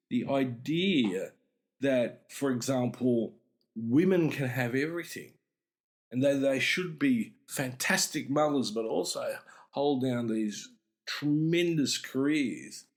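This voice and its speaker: A middle-aged man hosts an explanatory talk on psychology, speaking deliberately and with emphasis. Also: medium pitch. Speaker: podcast host and mental health speaker.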